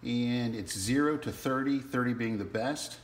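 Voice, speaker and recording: A man giving a casual, halting step-by-step walkthrough as a product demonstrator in a small indoor room. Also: mid-range voice. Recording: roomy sound with faint background noise.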